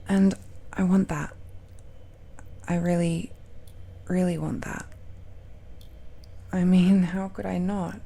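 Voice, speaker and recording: A woman voicing a tender, nervous girlfriend of dragon blood in an intimate romantic audio roleplay, speaking haltingly and softly, often dropping into whispers. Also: lowish voice, little pitch movement, gravelly, full character voice. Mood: adoring, hesitant. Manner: slow, halting, hushed, often sighing or lapsing into whispers. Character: tender, nervous dragon-descended girlfriend confiding in her lover.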